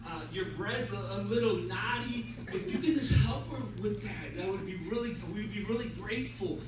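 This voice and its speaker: A man's voice: sits high, moderate pace, lightly acted. A man voicing a humble, devout husband speaking earnestly in a told story. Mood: hesitant, polite. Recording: very echoey room, distant mic, noisy.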